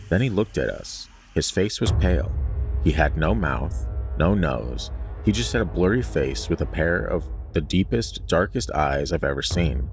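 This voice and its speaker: A man with an extremely deep voice hosts a podcast. He talks and reads stories aloud in an informal, conversational way, with room for joking asides. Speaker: podcast host. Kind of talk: casual chat and reading listener stories aloud.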